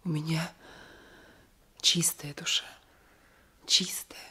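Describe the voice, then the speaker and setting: A woman's voice: sits low, level tone, takes it slowly, sharp tone, husky, heavily acted. An actress playing a fearful, lovesick young married woman in a radio drama, hesitant and often whispering. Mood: subdued. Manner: hesitant, trembling, repeating words. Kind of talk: 1980s radio drama acting.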